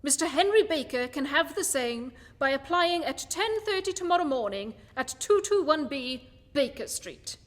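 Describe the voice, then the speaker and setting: A woman playing a cerebral, commanding male detective in a radio play, speaking in eloquent, measured, declamatory phrases. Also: high-pitched, expressive. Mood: brisk, commanding. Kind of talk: theatrical radio play monologue and dialogue. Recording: music behind the voice.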